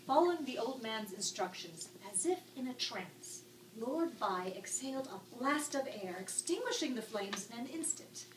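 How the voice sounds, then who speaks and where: A woman narrating a written story in an expressive, arch, dramatic style. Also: on the high side, moderate pace. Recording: echoey room, distant mic, background noise.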